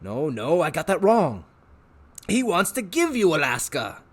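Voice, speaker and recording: A man's voice: fairly high pitch, wildly swooping pitch, breathy edge, pitched above the performer's own voice, full character voice. A man voicing a pushy, wisecracking male trickster who hollers and talks like a huckster in a storybook reading. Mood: pushy, brash. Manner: loud, fast-talking huckster, hollers.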